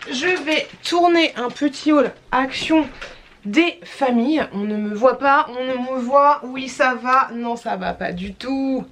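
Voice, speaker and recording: A woman's voice: high-pitched, slow, fairly bright tone, a little hiss or hum. A young woman with a cold, talking casually to her vlog audience in a tired, stuffy voice, rambling with many fillers and restarts. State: has a cold. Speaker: vlogger.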